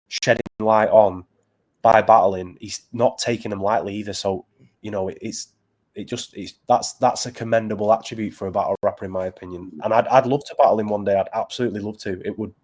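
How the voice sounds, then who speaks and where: A man with a Northern English accent from Preston, talking as a battle rapper guest in casual interview chat, earnest and halting with many restarts. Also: lowish voice, steady tone, darkish tone. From Preston, England.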